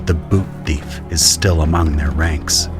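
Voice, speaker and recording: A man with a deep voice narrates a scripted audio drama in the first person. Music plays clearly beneath his narration. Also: steady tone, measured pace.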